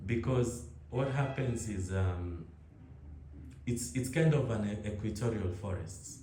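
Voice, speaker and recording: Kenyan guy, young, low mellow voice, rambles and restarts a lot, echoey room with a faint hiss. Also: measured pace. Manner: relaxed, rambling, frequent restarts and laughs. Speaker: interviewee. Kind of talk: casual conversation.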